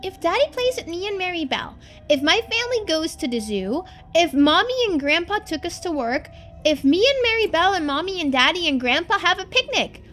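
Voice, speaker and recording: Little kid voice for a game, really high and swoopy. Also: female voice, sing-song, fairly quick, fairly bright tone, faint music behind, exaggerated voice. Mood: childlike, wistful. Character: little girl naming her childhood drawings. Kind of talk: video game voice acting.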